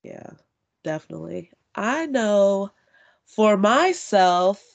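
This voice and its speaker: A woman's voice: medium pitch, big pitch swings, slow, gravelly, muffled recording. A woman hosting a podcast, chatting casually and swearing freely, her voice stuffy from allergies. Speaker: podcast host.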